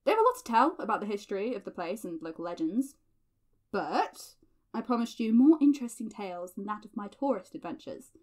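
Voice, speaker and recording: A woman's voice: mid-range voice, sing-song, a little fast, a little mellow. An actress playing a playful, nerdy young woman in a chatty audio drama diary, teasing and theatrical. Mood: chatty, wry.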